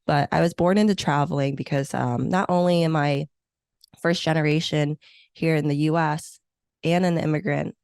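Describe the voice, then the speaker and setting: Guest: low-pitched woman from Hong Kong, English her third language, reflective and chatty, dry sound. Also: steady tone, normal speed, gravelly, clean tone, dry recording. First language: not English; English is her third language. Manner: warm, reflective, many fillers and restarts. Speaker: senior meeting planner, guest. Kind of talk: conversational interview.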